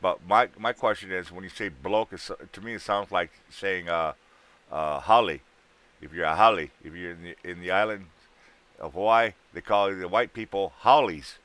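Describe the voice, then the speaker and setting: A man's voice: low voice, normal speed, fairly bright tone, no room sound. A man in his thirties, hosting a livestream and bantering with guests in trucker slang. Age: 30s. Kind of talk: casual livestream chat.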